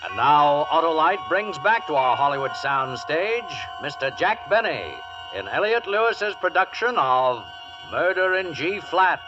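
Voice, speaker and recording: An American man gives a dramatic old-time radio introduction. His voice is highish and swings widely in pitch over music, on a muffled recording. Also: very animated, a little slow. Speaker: programme announcer.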